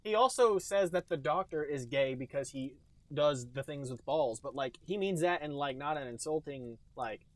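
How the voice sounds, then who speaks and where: A man with a fairly high voice hosts a podcast in casual chat. He talks in a jokey, rambling way. The sound is dry and free of room echo. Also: animated, moderate pace. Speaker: podcast host.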